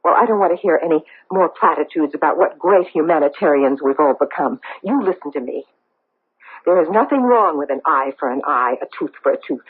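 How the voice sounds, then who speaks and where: Radio drama socialite: bitter, challenging, husky-edged, on a tinny narrow-band broadcast. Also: female voice, sits low, expressive, a little fast. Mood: bitter, indignant. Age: middle-aged. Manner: intense, theatrical, challenging. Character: embittered, vengeful socialite wife hiding a painful past. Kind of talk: 1970s radio drama acting. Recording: old radio broadcast, thin narrow phone-like sound.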